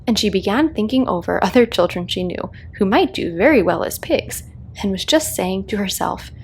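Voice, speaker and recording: A woman narrating a children's story as a read-aloud host, in a calming, expressive storytelling style. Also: mid-range voice, vocal fry, a little hiss or hum.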